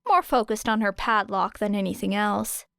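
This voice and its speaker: A woman's voice: mid-range voice, normal speed, creaky, a bit bright, slight background noise. A woman reading fiction aloud as an audiobook narrator. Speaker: audiobook reader.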